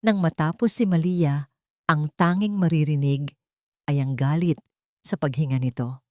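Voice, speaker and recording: A woman's voice: low-pitched, steady tone, moderate pace, mellow, dry recording, studio quality. A woman with a Filipino accent reading a scripted love story as a dramatic narrator.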